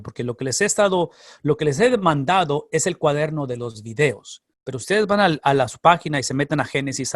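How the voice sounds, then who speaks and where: A man's voice: medium pitch, dry recording, clean studio sound. A man who is a pastor and Bible teacher, answering class questions in an explanatory way, repeating phrases for emphasis. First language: Spanish.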